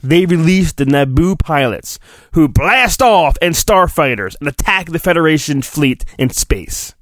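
Male podcast host, fairly high voice, dry recording; mock-dramatic children's book narration with offhand commentary. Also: animated, unhurried, fairly bright tone, no room sound. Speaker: podcast host reading a storybook. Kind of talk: dramatic storybook reading with casual asides.

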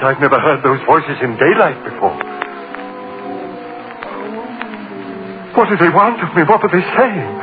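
A man's voice: mid-range voice, lightly acted. An actor playing a tormented man driven to murder by haunting voices, in theatrical old-time radio horror style. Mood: haunted. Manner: feverish, theatrical, repeats phrases. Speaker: radio drama lead actor. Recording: old, tinny, noisy radio recording.

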